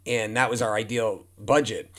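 A hoarse man, an indie film producer, talking frankly as a podcast guest, rambling and repeating himself. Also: fairly low pitch, fairly even intonation, normal speed, a bit bright. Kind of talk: casual podcast guest talk. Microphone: speaking near a humidifier.

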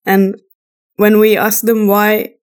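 Female co-host, Flemish accent, native Dutch. Average pitch, informal listener Q&A delivery with hesitant mid-sentence pauses. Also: completely flat delivery, clean tone. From Flanders, Belgium. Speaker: podcast co-host. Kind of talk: casual conversational Q&A.

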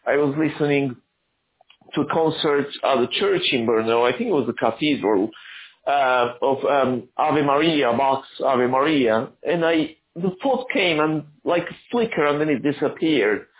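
A man who grew up in Argentina, an author answering interview questions in a halting, thoughtful way with many restarts. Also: fairly high pitch, animated, phone-quality sound.

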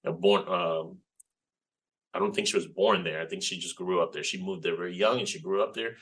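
Man, 40s, Spanish speaker, very low voice, reflective, casual interview guest. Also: steady tone, a bit bright. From Puerto Rico. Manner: reflective, unhurried storytelling with frequent "you know". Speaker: guest, game developer.

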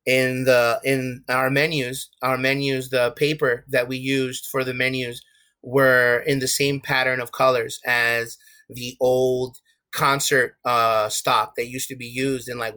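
A man's voice: medium pitch, pushed and bright. A young man with a New York accent, talking candidly as an interview guest, with many restarts and fillers. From USA. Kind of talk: casual interview talk.

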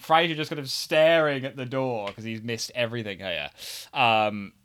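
A man talks as a podcast co-host in an informal recap. He is jokey and likes to act out lines with some animation. His pitch sits in an ordinary middle range for a man. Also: moderate pace, fairly bright tone, clear, resonant tone. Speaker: podcast co-host reviewing sitcom episodes. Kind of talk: casual chat, episode recap.

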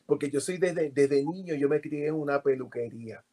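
Puerto Rican man, podcast guest, fairly high voice, animated storyteller full of fillers. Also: moderate pace. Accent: Puerto Rican Spanish. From Puerto Rico. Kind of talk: casual interview.

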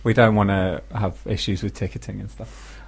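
A man co-hosting a radio show, telling stories casually in a deadpan, teasing way. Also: on the low side, moderate pace, vocal fry, husky. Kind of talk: casual comic radio chat and anecdote.

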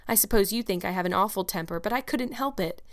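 A woman's voice: mid-range voice, fast talker, natural voice. A woman voicing an eleven-year-old orphan girl in a storybook, emphatic and full of pleading drama. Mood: wailing, defensive.

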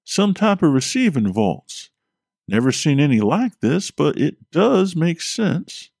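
Male narrator voicing a high, folksy Vermont plumber, blunt and joking. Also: sing-song, slow, vocal fry, a little mellow, slightly rough, no echo, clean studio sound, full character voice. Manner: folksy, drawling, a bit crude.

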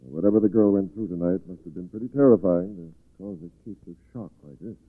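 A grave, formal male doctor, deep, husky and quick-spoken. It is 1940s radio drama with a phone-like sound. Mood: grave, concerned.

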